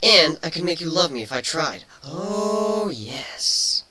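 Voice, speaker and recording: A man voicing a playful, teasing female changeling pony in a cartoon fan fiction reading, matter-of-fact even with mock threats. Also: a little high, slow pace, crowd noise, pitched above the performer's own voice. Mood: seductive, sly.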